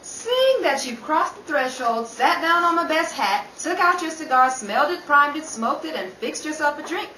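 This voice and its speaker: A woman's voice: high-pitched, lively intonation, moderate pace, hardly any pauses, bright, forceful tone. An actress playing a dry, witty woman writer in a 1930s radio comedy, arch and theatrical. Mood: sardonic. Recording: roomy, distant, noisy stage-like recording.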